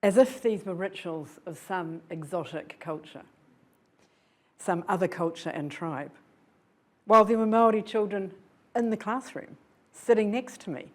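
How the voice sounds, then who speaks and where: A woman's voice: mid-range voice, a little slow. An older woman from New Zealand giving an earnest, reflective public lecture, often posing rhetorical questions to her audience. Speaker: guest lecturer. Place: lecture hall.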